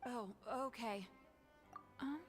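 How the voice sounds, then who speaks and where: A woman's voice: fairly high pitch, over music. A woman voicing a timid, awkward society member in a video game, hesitant and reluctant to talk. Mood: uneasy. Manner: hesitant, drawn-out. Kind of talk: video game character acting.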